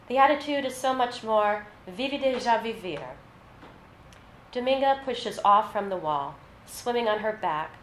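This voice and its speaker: A woman reading literary fiction aloud at a live event, with a measured, narrative delivery. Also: mid-range voice. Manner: measured, scripted narration with read-out dialogue. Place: live reading in an echoey venue. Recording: roomy echo, faint background noise.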